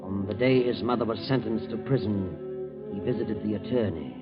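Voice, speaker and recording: Man playing a low, sinister radio mystery narrator. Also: moderate pace, lightly acted. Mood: ominous. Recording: dull old 1940s radio, music behind.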